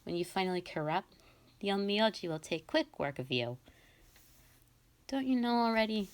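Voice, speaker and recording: A woman's voice: mid-range voice, lively intonation, moderate pace, close to an everyday voice. A woman voicing a sadistic, vengeful female fox spirit in a scripted audio drama, theatrical and condescending. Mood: cold, menacing.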